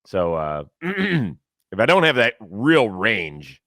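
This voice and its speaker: Guy hosting a sports show, kinda hoarse, bright punchy voice, dry studio sound. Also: fairly low pitch, slow, dry recording. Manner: lively, animated, reads ad copy with energy. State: a little hoarse from lack of sleep. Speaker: host. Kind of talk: casual sports talk show.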